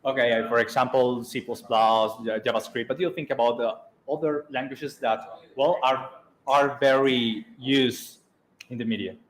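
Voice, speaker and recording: A man's voice: medium pitch, steady tone, moderate pace. A man moderating a Q&A session, polite and hesitant, with frequent fillers. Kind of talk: hosting a Q&A session. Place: lecture hall with audience. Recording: roomy hall with faint noise.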